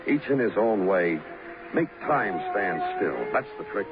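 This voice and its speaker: An actor playing a hardened, moody New York homicide detective, narrating poetically and deliberately in a 1950s radio crime drama. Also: male voice, lowish voice, animated. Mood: brooding. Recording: muffled old radio recording with music.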